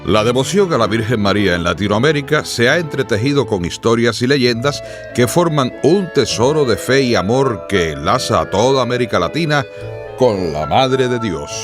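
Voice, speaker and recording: A man's voice: medium pitch, animated, normal speed, music in the background. A man narrating a radio drama from a script in a formal, dramatic storytelling manner.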